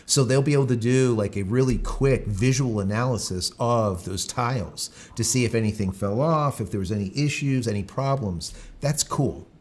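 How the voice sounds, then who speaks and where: A man's voice: medium pitch, normal speed, hardly any pauses. A man hosting a live stream, chatting casually, rambling, with many fillers and restarts. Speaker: livestream host.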